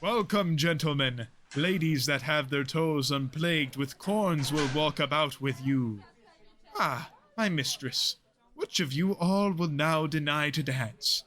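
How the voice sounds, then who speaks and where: A man's voice: on the high side, slow, fairly bright tone, slight background noise. A man playing a jovial, nostalgic older lord, hearty and declamatory, in a Shakespearean audio play. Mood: hearty, teasing.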